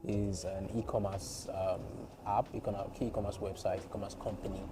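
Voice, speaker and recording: A man with a soft voice, chatting playfully as a guest about his work. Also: fairly low pitch, flat delivery, moderate pace. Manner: playful, joking storyteller. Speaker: guest content creator. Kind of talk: casual interview chat. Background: background music.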